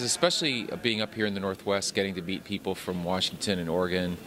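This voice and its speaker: A man in his fifties with a very deep voice talks quickly and with enthusiasm into a handheld mic. He stands in a hotel lobby with a faint hum behind him. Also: fairly bright tone. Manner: enthusiastic, chatty. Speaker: conference attendee. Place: indoor hotel conference lobby. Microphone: handheld interviewer mic.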